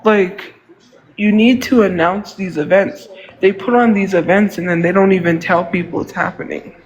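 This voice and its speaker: A man's voice: sits high, fairly even intonation, moderate pace, lo-fi sound. A man in his thirties with a congested nose, rambling and venting in casual livestream chat. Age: 30s. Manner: rambling, venting, repeats himself.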